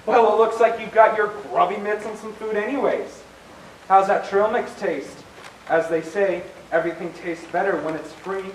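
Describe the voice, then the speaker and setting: An indignant, self-important young man acted by a male stage player speaks in a high voice with earnest pomposity. It is an amateur play, echoing in a hall and picked up from a distance. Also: fairly even intonation, moderate pace, natural voice. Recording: echoey hall, distant mic, faint noise.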